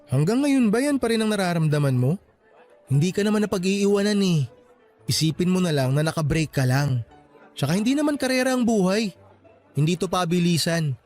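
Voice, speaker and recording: Guy acting a supportive young grad in a radio love story, really high voice, teases a bit. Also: lively intonation, brisk, a little mellow, soft background music. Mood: encouraging. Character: young male fresh graduate, supportive, teasing best friend.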